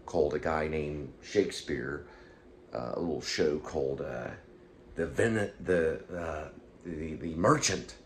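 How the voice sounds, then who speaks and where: A man hosting his own online show, giving rambling, unscripted commentary in a halting, digressive way, as if thinking aloud. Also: extremely low pitch. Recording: clear background noise.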